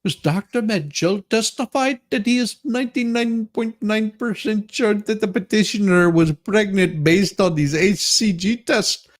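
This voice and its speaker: A man's voice: high-pitched, measured pace, hardly any pauses. A man doing a comedic dramatic reading with rambling, repetitive, mock-outraged banter. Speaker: co-reader in a dramatic reading stream.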